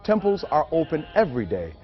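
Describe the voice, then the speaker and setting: A man narrates a training film from a script in a slightly high voice. Faint music plays behind him, and the audio is a bit dull. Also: wildly swooping pitch, fairly quick.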